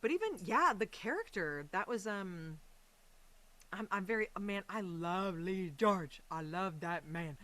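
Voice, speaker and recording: A woman's voice: medium pitch, expressive, measured pace, a bit bright. A woman talking casually as an interview guest on a podcast, effusive and chatty, with slangy quoted asides.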